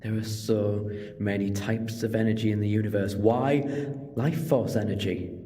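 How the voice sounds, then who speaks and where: A man's voice: fairly low pitch, fairly even intonation, dark tone, close to an everyday voice. A man playing a clever, chatty alien time traveller in an audio drama, explaining things conversationally with moral earnestness. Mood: earnest, indignant. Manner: expository, conversational, quick to digress. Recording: long reverberant hall.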